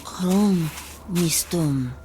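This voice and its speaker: An actress playing a frightened, exhausted alien woman in a 1960s television drama, speaking in halting single foreign words and murmurs. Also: lowish voice, warm tone. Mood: tentative. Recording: old TV soundtrack with music underneath.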